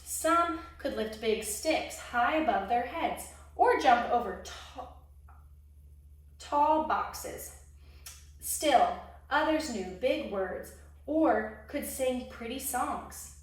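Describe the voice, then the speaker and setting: A woman reading a children's story aloud expressively, pausing to put questions to young listeners. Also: high-pitched. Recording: very echoey room, distant microphone.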